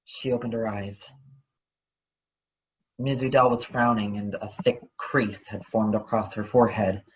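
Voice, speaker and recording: A man's voice: lowish voice, fairly even intonation, measured pace. A man narrating children's fiction as a dramatic reading. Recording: dull, lo-fi sound.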